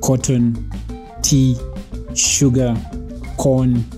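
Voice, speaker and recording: A man who hosts a channel, reading a scripted narration of facts in a measured, teaching tone. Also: mid-range voice, level tone, over music. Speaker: channel host. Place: voice-over with background music.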